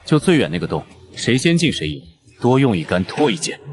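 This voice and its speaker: An actor playing a shrewd, composed young hero with a cool, probing manner, in an animated drama. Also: male voice, medium pitch, brisk, noisy background, close to an everyday voice. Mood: cool, challenging. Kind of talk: audio-drama dialogue for animated story.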